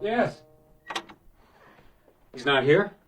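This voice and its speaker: An actor playing a stubborn, driven hotel detective in a radio crime drama, terse, urgent and resolute. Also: male voice, a little high, expressive, very slow, loud music behind the voice. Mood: terse, tense.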